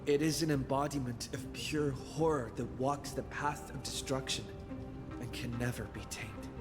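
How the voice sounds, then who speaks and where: An actor playing an earnest, defiant young male hero in a video game, speaking in a solemn, measured, declarative way. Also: mid-range voice, fairly even intonation, lightly acted. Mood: grave, warning. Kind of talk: dramatic video game voice acting. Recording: long reverb, loud music underneath.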